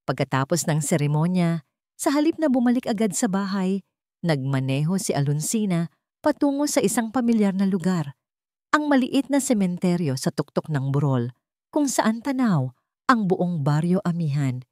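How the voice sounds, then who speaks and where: A woman narrating a scripted story in a measured, earnest and formal way. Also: fairly low pitch, sing-song, darkish tone, no echo, studio quality.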